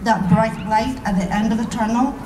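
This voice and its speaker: A woman from Somalia with a Somali accent, giving a public speech at a rally in short, measured phrases. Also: on the high side. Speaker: rally speaker. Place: outdoor rally, recorded from within the crowd. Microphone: podium microphone heard from far camera. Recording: distant, echoey outdoor PA with noise.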